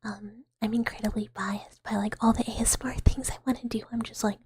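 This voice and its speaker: A woman's voice: fairly high pitch, steady tone, fairly quick, a little mellow, breathy edge, no echo. A woman whispering breathily into a close microphone in a playful, rambling ASMR livestream chat. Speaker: ASMR streamer chatting with viewers.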